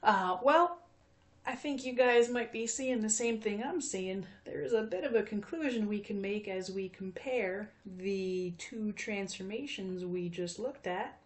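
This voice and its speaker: A woman's voice: medium pitch, a little slow. A woman in her fifties teaching math in a recorded video lesson, chatty and friendly, with frequent fillers. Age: 50s.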